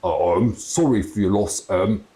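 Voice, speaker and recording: A man's voice: on the low side, measured pace, dark tone, reverberant, lightly acted. A man voicing a flustered, plump bank boss from a storybook, stammering and gurgling. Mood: awkward.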